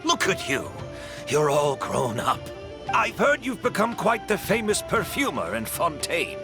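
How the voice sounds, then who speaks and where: A man's voice: high-pitched, sing-song, a little slow, music in the background, exaggerated voice. A man playing a modest, gracious older master perfumer and mentor in a video game. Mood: warm, modest. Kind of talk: video game character acting.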